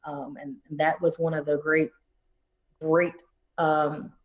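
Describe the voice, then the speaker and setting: A chatty woman from North Carolina with a soft Southern accent, talking through an interview in a fairly low voice. She keeps the conversation loose with "you know" and "like" and breaks into laughs. The audio sounds a little muffled and lo-fi. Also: flat delivery, slow pace. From coastal North Carolina. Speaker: guest, space agency public affairs officer. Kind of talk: casual interview chat.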